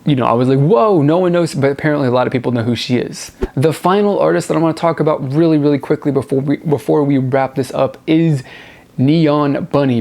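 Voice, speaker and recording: A man's voice: a little high, creaky, darkish tone. A man hosting a music review show, talking casually and off the cuff to viewers, rambling and repeating words for emphasis.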